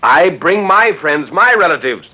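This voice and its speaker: A man's voice: on the high side, sing-song, pushed and bright, pitched above the performer's own voice, exaggerated voice. An actor playing an earnest, stubborn man who objects insistently, in 1940s radio drama style. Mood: agitated, indignant. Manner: agitated, insistent, halting when interrupted. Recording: dull old radio recording.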